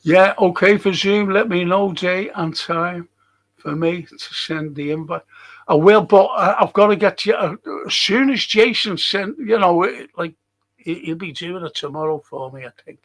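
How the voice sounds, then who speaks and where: A man's voice: high-pitched, normal speed, a bit bright. A man with a Liverpool accent hosting a casual livestream, rambling and repeating phrases for emphasis. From England. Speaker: livestream host. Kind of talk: casual livestream commentary reading viewer comments.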